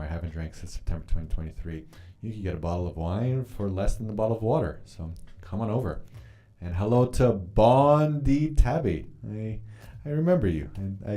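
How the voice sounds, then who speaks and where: A man's voice: low voice, dark tone. A man whose first language is Polish, chatting casually on a live stream while journaling, rambling and swearing freely as he reads comments. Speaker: livestreamer reading chat.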